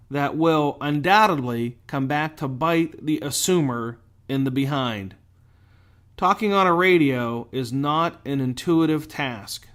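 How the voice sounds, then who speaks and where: A man delivering scripted instructional narration as a show host, speaking deliberately with clear enunciation and emphatic phrasing. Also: a little high, fairly bright tone. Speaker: host of a firefighter safety show.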